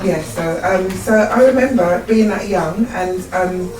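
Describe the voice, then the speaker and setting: A woman's voice: on the low side, unhurried, music in the background. A woman chattily recalling her childhood during a support group discussion. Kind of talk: personal storytelling in group discussion. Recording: echoey room, distant mic.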